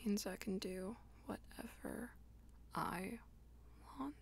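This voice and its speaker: A woman voicing a jealous, dominant vampire lover in intimate ASMR roleplay, mostly in a breathy whisper with a teasing, commanding manner. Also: mid-range voice, slow pace, slight background noise. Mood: jealous, possessive. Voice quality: breathy, husky whisper with creaky edges.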